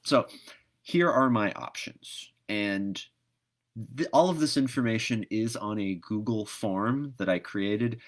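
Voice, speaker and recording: A middle-aged man hosting a read-aloud livestream, chatting casually with viewers in a halting, thoughtful, conversational way. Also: lowish voice.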